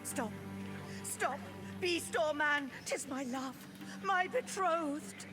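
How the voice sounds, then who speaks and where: An actress playing a young princess and devoted bride in a video game's stage play, speaking in a pleading, impassioned way. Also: female voice, high voice, drawn-out words. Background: game music.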